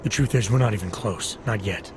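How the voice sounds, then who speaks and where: An actor playing a serious, guarded and quietly stubborn investigator in a video game, speaking in terse, deliberate lines. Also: male voice, on the low side, background noise, lightly acted. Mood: grave, certain. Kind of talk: video game cutscene acting.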